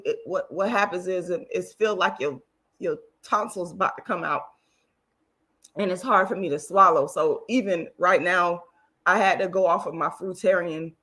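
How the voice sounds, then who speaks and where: A hoarse woman with a deep voice, hosting her own vlog in a blunt, indignant, repetitive way and swearing freely. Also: a bit bright. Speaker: channel host. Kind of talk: casual vlog monologue.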